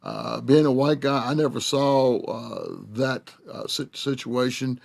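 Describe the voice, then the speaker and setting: An older man speaks as a show host. He talks in a halting, reflective way, filling gaps with drawn-out pauses. His voice sits at an ordinary middle pitch. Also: creaky, a little mellow. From Southern United States. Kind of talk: reflective narration.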